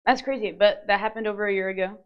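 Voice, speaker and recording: A woman's voice: mid-range voice, steady tone, fast, smooth, lo-fi sound. A young woman hosting a casual student interview, teasing her guest in a playful, giggly way. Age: young adult. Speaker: student host, teammate of the guest.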